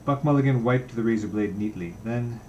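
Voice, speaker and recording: A man's voice: medium pitch, a little fast, mellow, dull audio, slight background noise. A man narrating literary fiction, reading a novel aloud as an audiobook reader.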